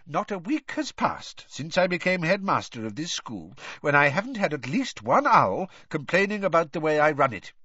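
A man voicing an old, kindly wizard headmaster, measured, courteous and dryly witty. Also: on the high side, big pitch swings, sharp tone, slightly rough, no room sound, lo-fi sound, pitched above the performer's own voice, full character voice. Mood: firm, wry. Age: older.

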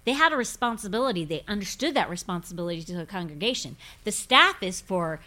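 A woman's voice: medium pitch, animated, fast, pushed and bright. A woman in her fifties, a pastor hosting a casual faith podcast, talking in a forthright, emphatic, preachy way. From grew up in Pentecostal churches. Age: 50s.

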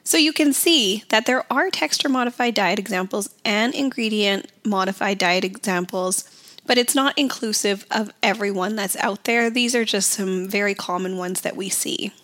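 A woman hosting an educational podcast as a dietitian, her voice raspy from a summer cold, speaking in an earnest, advocating way. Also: mid-range voice, moderate pace, fairly bright tone.

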